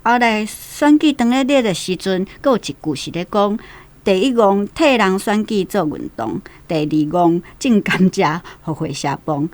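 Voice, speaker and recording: A woman's voice: medium pitch, lively intonation, unhurried, darkish tone. A woman with a Taiwanese accent giving orderly but informal explanatory history commentary as a radio guest.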